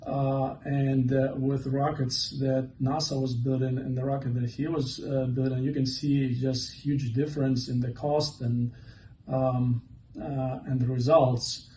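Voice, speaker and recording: A man's voice: mid-range voice, level tone, unhurried, mellow, slight background noise. Hesitant man speaking in an echoey hall. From Ukraine. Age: 40s. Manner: hesitant, many fillers. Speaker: presenter. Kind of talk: conference talk. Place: event hall. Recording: echoey hall, mic from far off.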